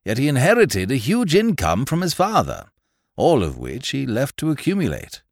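A man's voice: medium pitch, wildly swooping pitch, moderate pace, no echo, clean studio sound, voice pushed up. A man voicing a courtly, old-fashioned elderly English solicitor in an audiobook, mild and genial, with an English accent and a habit of repeating his phrases. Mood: reflective. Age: older. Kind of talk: audiobook character voice.

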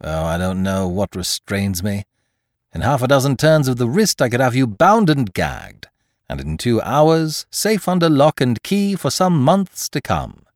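A man reading aloud as a cocky, playful gentleman thief who teases and mocks in a theatrical way. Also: big pitch swings, unhurried, no room sound, studio quality. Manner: teasing, theatrical swings in pitch. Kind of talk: audiobook character reading.

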